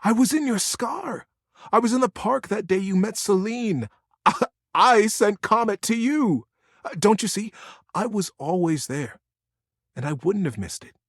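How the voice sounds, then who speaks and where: A man voicing an excitable, loving young immortal brother in a storybook tale, breathless and affectionate. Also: high voice, unhurried, dry recording, studio quality, pitched above the performer's own voice. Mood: tender, proud.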